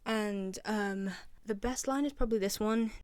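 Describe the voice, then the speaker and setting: A woman's voice: medium pitch, unhurried, darkish tone, dry recording, studio quality. A young woman giving casual, rambling commentary as a video creator, with a self-deprecating manner.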